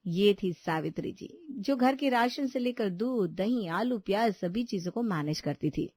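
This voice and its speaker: A woman's voice: mid-range voice, animated, measured pace, a little mellow. A woman reading scripted narration for a domestic family story as the storyteller.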